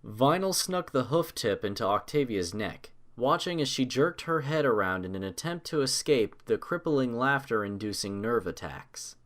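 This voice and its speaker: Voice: mid-range voice, expressive, moderate pace, few pauses, fairly bright tone. A narrator reading a scripted story aloud in the third person.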